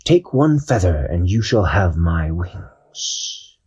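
A man voicing a wise old great horned owl, speaking slowly and solemnly. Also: low voice, sing-song, darkish tone, slightly rough, exaggerated voice.

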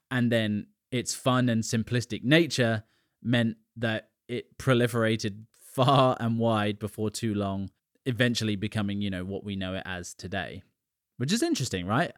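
A man's voice: lowish voice, dry recording, studio quality. A man from the UK hosting a podcast, talking casually with chatty asides and little jokes. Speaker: podcast host. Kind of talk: casual solo podcast monologue.

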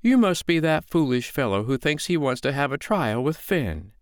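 A man voicing a calm, sly foster mother in a storybook, with a lilting, mock-innocent, needling way of talking. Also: on the high side, moderate pace, dry recording, clean studio sound, voice pushed up. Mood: calm, teasing.

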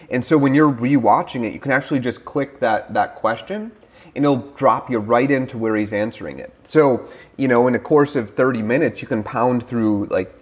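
A man co-hosting a podcast, talking casually with lots of fillers and quick laughs over a remote call. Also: medium pitch, breathy edge. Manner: fast, filler-heavy, laughing easily. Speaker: co-host. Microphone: remote call mic.

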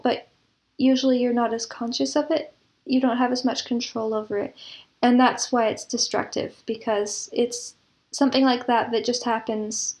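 A woman's voice: fairly high pitch, a little mellow. A woman being interviewed, explaining things reflectively with examples and analogies. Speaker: interview guest, composer and audio drama producer.

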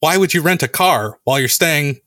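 A man hosting a casual conversation, telling animated stories and acting out the lines people said. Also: a little high, moderate pace, dry recording, studio quality. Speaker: podcast host.

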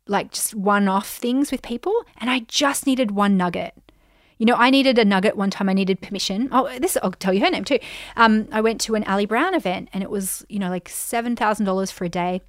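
Chatty Australian woman with a croaky voice. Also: mid-range voice, dry recording, clean studio sound. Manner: chatty, animated, frequent "um" and "like". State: voice getting croaky. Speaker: podcast host, business coach. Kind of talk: casual solo podcast, answering listener questions.

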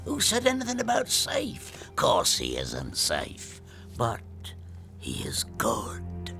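Slow, wildly expressive talking beaver. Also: male voice, mid-range voice, soft background music, full character voice. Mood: fervent, insistent. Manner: emphatic, dramatic, slow and deliberate. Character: earnest, reverent male talking beaver of fantasy. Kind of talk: audio drama acting.

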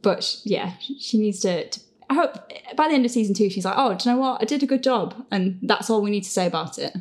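A woman's voice: medium pitch, animated, quick pace. A woman hosting a casual group Q&A, chatting informally with a giggly manner. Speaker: host and creator of an audio drama. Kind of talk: casual, giggly panel Q&A chat.

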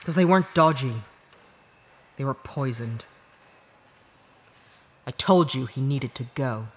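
Brash, animated schemer. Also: female voice, very deep voice, takes it slowly, a little hiss or hum, full character voice. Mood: steely, dry. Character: brash, cunning disgraced female ex-narc, cool-headed schemer. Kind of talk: audio drama acting.

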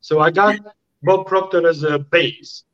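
A man's voice: on the high side, measured pace, a bit bright, lo-fi sound. A man from Romania with a Romanian accent, a guest telling his story in a casual interview, often repeating words as he searches for the phrasing.

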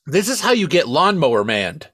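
A man's voice: on the high side, sing-song, normal speed, fairly bright tone, slightly rough, dry recording. A man co-hosting a comedy podcast, reading spam emails theatrically and breaking into sweary, casual asides. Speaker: podcast co-host.

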